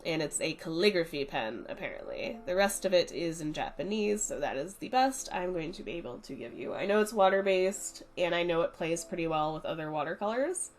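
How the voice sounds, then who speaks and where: A woman's voice: fairly low pitch, brisk, few pauses, slight background noise. A young woman, congested from a cold, chatting casually as she talks through her art supplies. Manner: chatty, relaxed, explaining as she goes. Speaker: art channel host. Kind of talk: casual art review voice-over.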